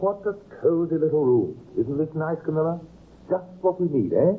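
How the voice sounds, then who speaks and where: An actor with a British accent playing a cheerful, smooth-talking gentleman with a scheming side in an old radio drama, hearty and coaxing. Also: fairly high pitch, big pitch swings, moderate pace. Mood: hearty, solicitous. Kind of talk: old-time radio acting. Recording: old radio recording, very narrow band.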